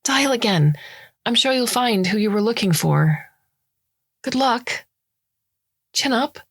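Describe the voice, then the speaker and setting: A woman's voice: on the high side, expressive, slow, breathy edge, no echo, studio quality, voice pushed up, heavily acted. Polite bemused man on a phone call, a woman reading, courteous, mild. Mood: brisk.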